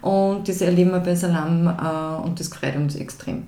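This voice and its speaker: A woman's voice: lowish voice, normal speed. Emphatic woman, roomy echo. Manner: animated, emphatic, frequent hesitation fillers. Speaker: project initiator, podcast guest. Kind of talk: casual conversation.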